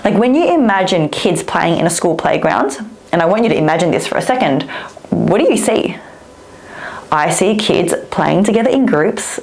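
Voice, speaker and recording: A woman whose first language is Vietnamese, a speaking coach giving an upbeat, expressive talk straight to camera. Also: fairly low pitch.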